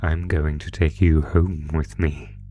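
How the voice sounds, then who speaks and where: A man's voice: very deep voice, little pitch movement, slow pace, dark tone, slight background noise. A man voicing a sadistic, predatory monster from under the bed in a horror audio roleplay, taunting the listener with mock-sweet, savoring menace. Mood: sinister, anticipating.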